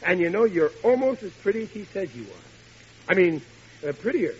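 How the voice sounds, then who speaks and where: An actor playing a bumbling, eager husband who stammers when flustered, in old-time radio sitcom style. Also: male voice, high-pitched, sing-song, moderate pace, a little mellow. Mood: nervous, bashful. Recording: muffled, hissy vintage radio broadcast.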